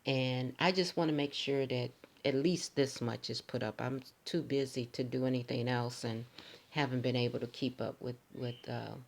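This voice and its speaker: A hoarse man with a raspy voice, talking casually to his viewers in a rambling, halting way with many restarts. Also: medium pitch, steady tone. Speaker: commentary channel host.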